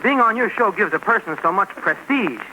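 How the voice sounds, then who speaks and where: A man's voice: sits high, lively intonation, brisk, background noise. A young man, a singer, eagerly fawning in a 1940s radio comedy sketch. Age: young adult.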